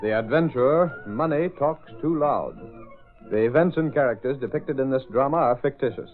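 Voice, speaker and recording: A man's voice: mid-range voice, normal speed, phone-quality sound. A man with an American accent announcing a radio serial in a dramatic, scripted 1930s-40s style. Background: music.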